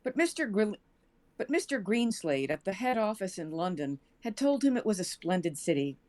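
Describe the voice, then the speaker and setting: A woman's voice: mid-range voice, very animated, normal speed, a little mellow. An American woman reading fiction aloud as a storyteller. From United States. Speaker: show cast member reading a story aloud.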